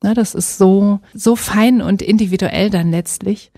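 A middle-aged woman from Berlin, a psychologist answering interview questions in a thoughtful, measured way with small pauses and soft laughs. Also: medium pitch, no echo, studio quality. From Berlin, Germany.

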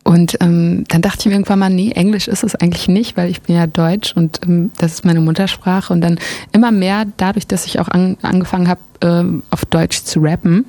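A woman from Germany, a musician talking in a relaxed interview, often pausing with fillers as she searches for words. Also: lowish voice, no room sound. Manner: relaxed, hesitant with frequent fillers. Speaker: musician guest. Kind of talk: casual interview talk.

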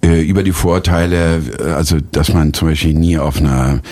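A man's voice: very deep voice, brisk. A man in his forties, an actor and cabaret artist, telling stories as an interview guest with frequent laughs and fillers. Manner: animated storyteller, laughs often, many fillers. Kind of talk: casual interview talk.

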